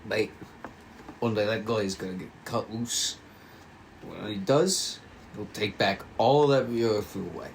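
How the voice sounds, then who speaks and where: A man's voice: lowish voice, unhurried, gravelly, long pauses. A man playing a lazy, gossiping police officer in an amateur script read, with faint noise. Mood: wary, scornful. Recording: noisy room recording.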